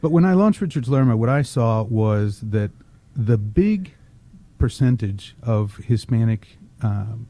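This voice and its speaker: Dark-voiced, measured man from Texas. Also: medium pitch, no room sound. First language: English, also grew up with Spanish. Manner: measured, explanatory, with frequent ums. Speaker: agency founder and CEO, guest. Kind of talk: interview answers.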